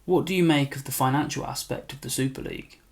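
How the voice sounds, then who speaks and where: A male interviewer asking brisk, neutral questions in a documentary. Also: medium pitch, vocal fry.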